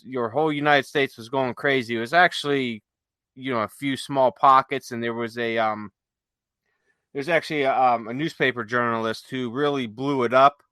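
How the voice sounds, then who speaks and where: Bright, forceful man hosting a podcast. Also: medium pitch, smooth, no echo. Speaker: podcast host. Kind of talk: casual panel chat.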